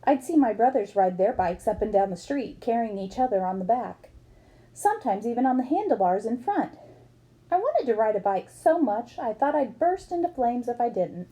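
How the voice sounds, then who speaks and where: A woman's voice: a little high, sing-song, moderate pace, warm tone, rough recording. A woman reading a book aloud expressively, as for children, acting up the dialogue. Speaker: book reader.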